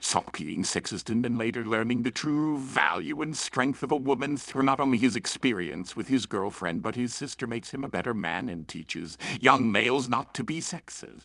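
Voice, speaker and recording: A man narrating a scripted review in an opinionated, emphatic way. His pitch moves around a lot and drops into noticeable fry. The recording is close and dry. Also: lively intonation, normal speed, hardly any pauses, sharp tone, no room sound. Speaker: story reviewer.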